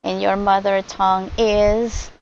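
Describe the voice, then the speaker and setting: A woman's voice: mid-range voice, flat delivery, slow. A Filipino woman with a Filipino accent whose first language is Tagalog, tutoring English online in an upbeat, encouraging way. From Philippines. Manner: upbeat, encouraging, laughs often. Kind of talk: one-on-one online lesson. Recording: slightly muffled call audio with faint hiss.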